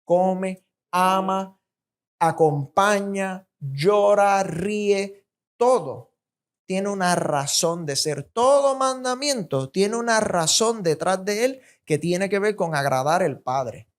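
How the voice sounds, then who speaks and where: A woman with a Puerto Rican accent delivers a sermon at a slow, deliberate pace. Her pitch sits in a middle register. She drives points home with emphatic, repeated phrasing. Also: lively intonation. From Puerto Rico. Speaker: preacher.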